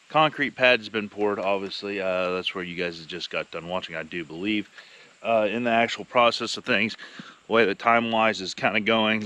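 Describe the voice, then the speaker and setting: Male farmer-host, 30s, Midwestern American, medium pitch, informal vlog chat recorded outside. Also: fairly bright tone. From Iowa, United States. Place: outdoors on a farm.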